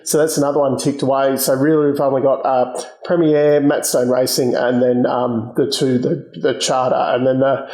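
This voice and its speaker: A slightly hoarse man hosting a motorsport channel, talking casually and hesitantly with many ums and restarts. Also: mid-range voice, little pitch movement, moderate pace, dark tone, roomy sound, poor audio. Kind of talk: casual solo commentary.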